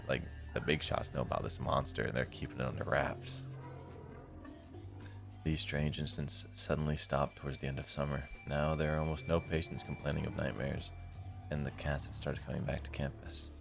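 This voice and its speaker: An extremely low-voiced man with a heavy fry in his delivery, streaming a visual novel. He moves between narrating the text and chatting informally, with music playing behind him. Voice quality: deep, gravelly. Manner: slangy, informal asides. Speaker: live streamer. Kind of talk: reading a visual novel aloud with casual commentary.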